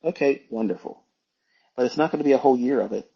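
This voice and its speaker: Blunt man lecturing in a husky voice. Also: mid-range voice, fast talker, dull audio. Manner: emphatic, blunt, admonishing, repeats phrases for stress. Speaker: trading educator hosting an online audio talk. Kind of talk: informal unscripted monologue, lecturing.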